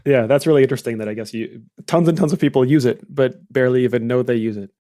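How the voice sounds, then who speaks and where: A man's voice: medium pitch, fast, mellow, no echo, studio quality. A man hosting a podcast, asking questions in a curious, conversational way. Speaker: podcast host. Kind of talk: casual interview chat.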